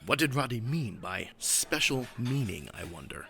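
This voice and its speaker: A man's voice: medium pitch, big pitch swings, normal speed, creaky, a bit bright. An actor playing an earnest, heroic masked crime fighter in an old-time style radio comedy drama, declaiming his lines. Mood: earnest, curious.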